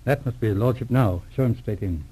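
An actor playing a middle-aged, seasoned investigator in a 1940s radio drama, brisk, probing and courteous to his superiors. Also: mid-range voice, dark tone, a little hiss or hum, lightly acted, poor audio. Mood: inquisitive, brisk. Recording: old radio drama recording.

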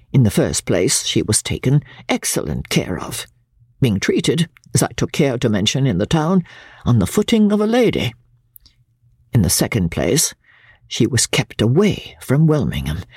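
A woman's voice: rumbling low, creaky, a little mellow, slightly rough, no room sound, studio quality, heavily acted. A woman reading classic literature dramatically as a prim, self-righteous older mother, pompous, genteel and indignant in manner. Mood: smug.

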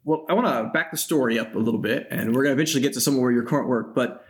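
A man interviewing a guest, asking brisk, conversational questions. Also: medium pitch.

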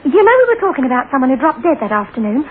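A woman's voice: sits high, very animated, rapid-fire. An actress playing an anxious, pleading young woman in an earnest old-time radio drama style. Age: young adult. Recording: narrow-band old radio recording, faint noise.

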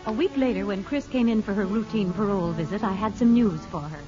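An actress playing a kindly parole officer in a 1950s radio drama, speaking in a calm, measured, reassuring way. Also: female voice, medium pitch, music in the background, close to an everyday voice. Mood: measured storytelling. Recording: dull 1950s radio recording.

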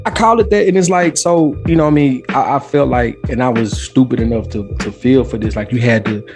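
A man's voice: mid-range voice, a little fast. A man, telling stories casually as a podcast guest, emphatic and repeating phrases for effect. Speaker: podcast guest, former rapper. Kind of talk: casual storytelling. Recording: music playing behind the voice. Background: music.

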